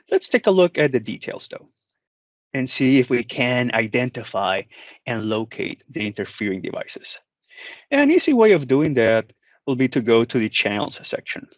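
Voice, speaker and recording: Man presenting a tutorial, very lively pitch. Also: dry recording, dull audio. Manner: conversational, explanatory, addresses the viewer. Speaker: product manager presenting a product demo. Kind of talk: software walk-through tutorial.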